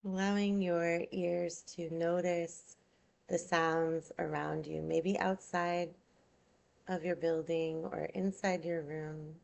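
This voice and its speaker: A woman yoga nidra instructor leading a guided meditation in a soothing, unhurried, gentle manner, leaving long pauses. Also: on the low side, flat delivery, vocal fry.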